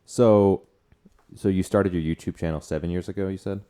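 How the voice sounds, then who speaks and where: A man in his twenties hosting a podcast in casual chat, informal and a little halting. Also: sits low, fairly even intonation, warm tone, clear, resonant tone. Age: 20s. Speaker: podcast co-host.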